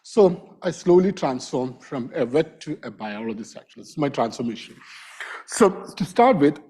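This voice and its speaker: A middle-aged man, lecturing informally in a hall while raspy with a bad cold. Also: on the high side, animated, dark tone. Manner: informal, self-deprecating, halting. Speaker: invited lecturer, wildlife vet. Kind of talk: academic lecture.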